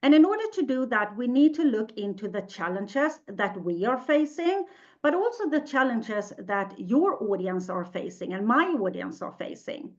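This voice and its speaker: A woman's voice: a little high, very animated, normal speed, highs cut off. Upbeat Swedish-accented woman hosting a webinar. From Sweden. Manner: upbeat, chatty, reads the live chat aloud. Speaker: webinar host. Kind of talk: live webinar presentation.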